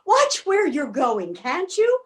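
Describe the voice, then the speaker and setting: Callous, cranky eccentric female, played by a woman, moderately high voice, dry curt delivery, comedy reading. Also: huge pitch swings, takes it slowly, exaggerated voice. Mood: grumpy, cutting. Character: eccentric, grumpy, callous, sharp-tongued lady.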